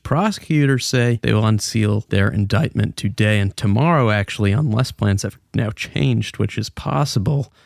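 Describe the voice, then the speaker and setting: A man with a fairly low voice with plenty of fry in it. He presents business news as a podcast host, keeping the wording informal and playful. The sound is close and dry. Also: normal speed, few pauses, a little mellow, no room sound.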